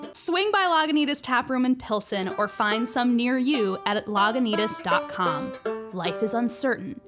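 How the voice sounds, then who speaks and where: Higher-pitched woman reading credits over guitar music. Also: animated, normal speed. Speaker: executive producer. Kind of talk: scripted sponsor read. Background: plucked guitar music.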